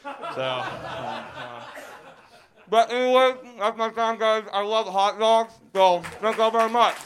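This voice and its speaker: A man's voice: high-pitched, animated, measured pace, a crowd in the background. A man in his twenties doing stand-up on a live stage, stuttering and laughing at his own jokes. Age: 20s. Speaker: stand-up comedian. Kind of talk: stand-up set.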